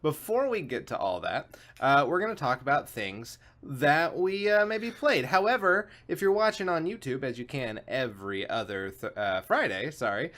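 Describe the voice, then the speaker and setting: A man's voice: fairly high pitch, animated, moderate pace, few pauses, fairly bright tone. A man hosting a casual, comedic gaming show, chatting in a jokey, rambling way. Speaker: show host. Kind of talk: casual comedic video game show chat.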